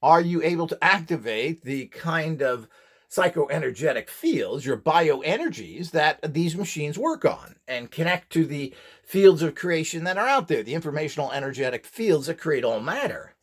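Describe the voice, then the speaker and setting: A man with a slightly high voice and very lively, swinging intonation gives an informal instructional demonstration. He is blunt and opinionated, pressing his points with emphasis. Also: normal speed. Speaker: instructor selling courses and devices.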